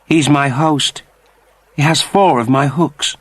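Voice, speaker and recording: A man's voice: on the high side, slow, fairly bright tone, husky, no echo, voice pushed up, full character voice. A man voicing a submissive but proud shapeshifting alien in a sci-fi story, speaking formally and earnestly. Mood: solemn.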